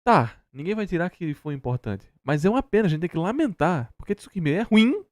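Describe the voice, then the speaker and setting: Animated, blunt Brazilian man. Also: sits high, fairly quick, no echo, studio quality. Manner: blunt, mocking, sweary. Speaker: reaction stream co-host. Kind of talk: casual argumentative commentary.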